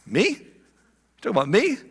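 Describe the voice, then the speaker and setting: A preacher briefly becoming a skeptical young man from an old story, dry and doubting, his questions set against a bright, lively voice. The sound rings with the long reverb of a big hall. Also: sits high, moderate pace, pitched above the performer's own voice, exaggerated voice. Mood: surprised.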